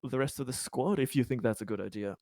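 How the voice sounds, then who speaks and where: A courteous colony councilman, played by a man in a tabletop roleplay, eager to please. He talks haltingly and politely in a mid-pitched voice, rambling and repeating words, recorded close and dry. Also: a little mellow, no room sound, natural voice. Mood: polite, welcoming. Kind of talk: improvised in-character tabletop dialogue.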